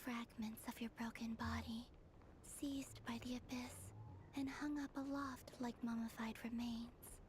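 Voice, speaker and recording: A high, breathy young woman acted by a performer speaks slowly and solemnly. She is a serene, mysterious anime game figure with a wistful, poetic manner, set over clear game ambience. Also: flat delivery, noisy background, close to an everyday voice. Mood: mournful, hushed.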